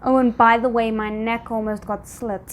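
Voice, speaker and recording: A woman giving a casual live reaction to a drama series, thinking aloud in an informal, expressive way. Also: a little high, a little fast, a little hiss or hum. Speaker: reaction video host.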